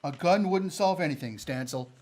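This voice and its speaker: Radio Western suitor played by a man: earnest, unbending cowboy, lightish pitch, simple country speech. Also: moderate pace, a little mellow, close to an everyday voice. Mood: defiant. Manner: plainspoken, rustic Western speech. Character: earnest, stubborn, unarmed cowboy in love. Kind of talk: old-time radio Western acting.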